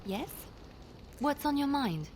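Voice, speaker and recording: An actress playing a gracious, wistful young bard in a video game, speaking in a lyrical storytelling way. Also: female voice, high-pitched, expressive, takes it slowly, noisy background. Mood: gentle, attentive. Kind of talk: video game dialogue acting.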